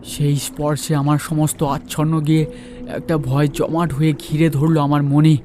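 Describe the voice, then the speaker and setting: A man's voice: on the high side, flat delivery, normal speed, mellow, soft background music. A man telling a horror story as a storyteller, in dramatic first-person narration.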